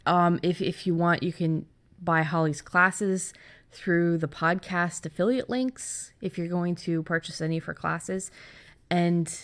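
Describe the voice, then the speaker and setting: A woman's voice: fairly low pitch, a little slow. A woman hosting a podcast in a casual, informal, rambling chat style. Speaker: podcast host.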